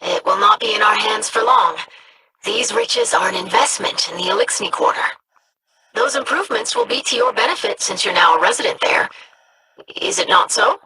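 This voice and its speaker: Earnest, formal young alien scribe. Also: female voice, fairly high pitch, very animated, moderate pace, creaky, bright, forceful tone, slight background noise, full character voice, poor audio. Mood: proud, pointed. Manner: formal, precise, idealistic. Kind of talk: video game character dialogue.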